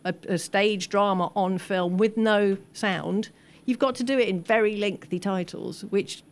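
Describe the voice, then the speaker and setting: A woman's voice: mid-range voice, measured pace. A woman speaking as an expert guest on a film discussion panel, explaining things enthusiastically and discursively. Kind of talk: post-screening panel discussion.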